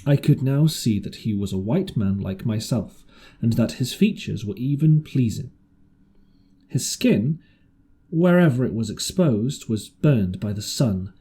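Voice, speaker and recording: A man's voice: mid-range voice, warm tone, a little hiss or hum. A man reading an adventure novel aloud as scripted audiobook narration. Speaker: audiobook reader.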